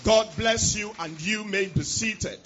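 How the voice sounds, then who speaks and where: A man preaching a fervent sermon, sounding nasal from a cold, pausing line by line for his interpreter. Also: high voice, fairly bright tone. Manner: fervent, call-and-response pacing. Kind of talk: sermon with prayer and scripture reading. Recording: dull lo-fi church recording with faint music.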